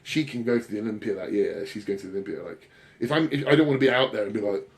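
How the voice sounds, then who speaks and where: Low-voiced British man, rambling and self-deprecating. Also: very fast. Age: 30s. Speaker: podcast co-host and bodybuilding coach. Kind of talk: casual podcast chat.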